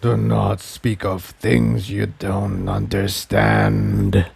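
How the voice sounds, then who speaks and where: A man voicing a huge ancient dragon tyrant in a storybook reading, speaking slowly and deliberately with smug menace. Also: on the low side, little pitch movement, vocal fry, darkish tone, slightly rough, no echo, voice pushed down, exaggerated voice. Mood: deathly quiet threat. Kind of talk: dramatic storybook villain voice.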